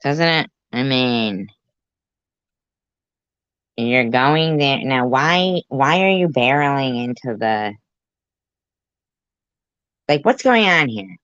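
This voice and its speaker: A woman host reacts to a clip with blunt, profane sarcasm. Her voice is strikingly low and her delivery unhurried, on a dull, lo-fi recording. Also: expressive, fairly bright tone, dry recording. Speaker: commentary host. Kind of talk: reaction commentary.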